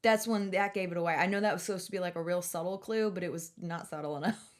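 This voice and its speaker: A woman hosting a podcast in casual chat, telling stories in an animated way with casual swearing. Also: fairly low pitch, fast talker. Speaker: podcast host.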